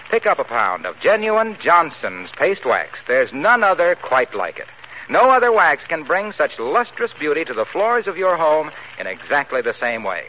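A man with an American accent giving a polished, persuasive scripted announcement in old-time radio style. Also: fairly high pitch. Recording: tinny, noisy old radio broadcast.

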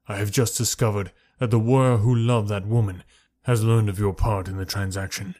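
A man reading the part of a stern, towering male alien warrior chieftain who speaks with cold, measured menace. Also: fairly low pitch, steady tone, darkish tone, close to an everyday voice. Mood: coldly menacing.

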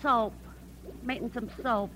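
A woman playing a gruff, overworked mining-camp cook in an old-time American radio drama, with a rustic American accent and a curt, folksy way of talking. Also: fairly high pitch, fairly even intonation, slow pace. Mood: grumpy, busy. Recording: vintage radio recording with faint noise.

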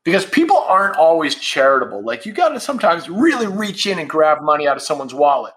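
A man's voice: a little high, sing-song, quick pace, a bit bright. A man in his fifties, a guest on a podcast, talking candidly and bluntly in casual conversation. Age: 50s. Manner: candid and blunt, swears freely. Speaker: podcast guest, founder of a supplement brand.

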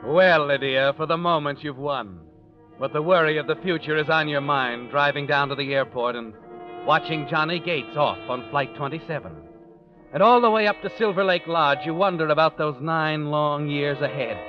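A muffled vintage radio recording with clear music under it. An actor plays a male suspense narrator, knowing and sinister, slipping insinuations to the listener in a slightly raised pitch. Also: moderate pace, lightly acted. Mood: knowing, ominous. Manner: insinuating second-person address.

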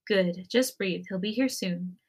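A woman voicing a kindly older mother-in-law in a story, gentle, nurturing and reassuring. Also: on the low side, unhurried. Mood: soothing.

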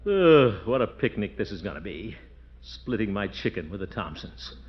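A blustering pompous uncle. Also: male voice, fairly low pitch, very animated, normal speed, music in the background. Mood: grumbling. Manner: blustery, chuckling. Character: blustering pompous middle-aged bachelor uncle. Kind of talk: 1940s radio acting. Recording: dull old radio recording with hiss.

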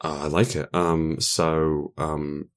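A man's voice: extremely low pitch, level tone, slow pace, clear, resonant tone. A man guiding players through tabletop character creation, explaining rules in a playful, easy manner. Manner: explanatory, playful, laughs easily. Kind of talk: casual tabletop chat.

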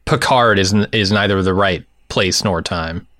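A man's voice: low voice, moderate pace, a bit bright, no echo, clean studio sound. A man chatting casually as a podcast co-host. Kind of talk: casual chat.

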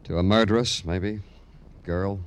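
A man's voice: low-pitched, fairly even intonation, measured pace, clean tone. An American man acting a terse, world-weary police detective in a hardboiled 1950s radio crime drama. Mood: weary, troubled. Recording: old radio drama with music behind.

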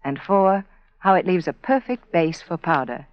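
Low-voiced woman reading a 1940s radio ad. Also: animated, no echo. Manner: measured, persuasive, personal. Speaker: sponsor spokeswoman. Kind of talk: scripted 1940s radio advertisement. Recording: dry, dull 1940s radio broadcast.

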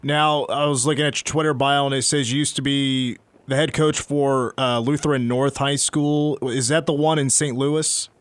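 A man hosting a sports talk radio show, chatty and rambling, his voice getting a little hoarse. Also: medium pitch, fairly even intonation, normal speed, few pauses, no echo. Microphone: studio microphone.

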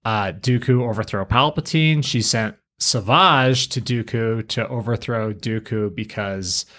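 A man's voice: fairly low pitch, a little slow, fairly bright tone. A man hosting a podcast, talking through a fan analysis of a fictional story. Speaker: podcast host.

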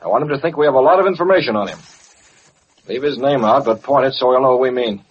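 Man acting authoritative American newspaper boss, brisk delivery, old radio drama, muffled sound, faint hiss. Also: medium pitch, close to an everyday voice. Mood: brisk, commanding. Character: commanding newspaper boss and masked crime fighter. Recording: muffled, hissy vintage radio recording.

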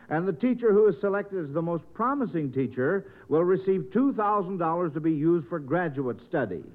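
High-pitched folksy man on old thin-sounding radio. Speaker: quiz show host. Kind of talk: 1940s radio quiz show hosting, addressing children. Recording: old radio broadcast, thin phone-like band.

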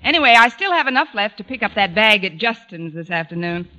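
An actress playing a wry high school teacher who tosses off dry sarcastic quips in a 1940s radio sitcom. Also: female voice, very animated, fast talker, sharp tone, faint music behind. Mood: dry, amused. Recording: old radio broadcast, dull, faint noise.

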